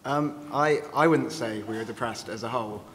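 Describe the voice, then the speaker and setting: Man, 23, measured, long reverb, faint hiss. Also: mid-range voice, a bit bright. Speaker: sound engineer panelist. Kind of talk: live panel discussion. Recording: hall reverb with faint noise.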